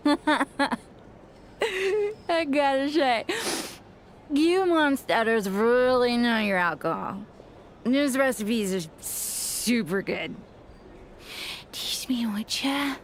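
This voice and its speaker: A woman's voice: a little high, expressive, drawn-out words, long pauses, slight background noise. A woman voicing a spirited, easygoing young woman in a video game, casual and playful in manner. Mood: pleased.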